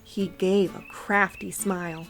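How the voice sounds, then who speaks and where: A woman narrates a children's storybook at a slow pace and a medium pitch. Her read-aloud is expressive, with music and birdsong behind it.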